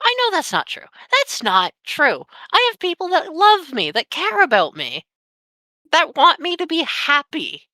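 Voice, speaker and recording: A nineteen-year-old woman telling a painful personal story on a podcast, candid and sweary with heavy pauses. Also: high voice, wildly swooping pitch, bright, forceful tone, no echo. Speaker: podcast guest.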